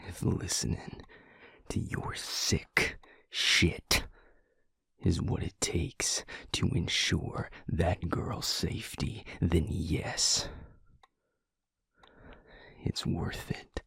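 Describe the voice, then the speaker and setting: Grim protective vampire, played by a man: extremely low and slow, gravelly whispery growl, terse menace. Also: level tone, pushed and bright, no echo, exaggerated voice. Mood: bitter restraint. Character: grim, protective male vampire, guarded and impatient. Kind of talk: dramatic audio roleplay acting.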